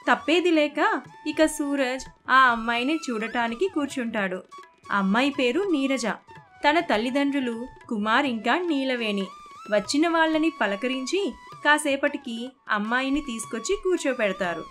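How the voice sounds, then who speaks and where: Female narrator, high pitch, scripted storytelling, music bed. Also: animated, normal speed, a bit bright. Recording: constant background music.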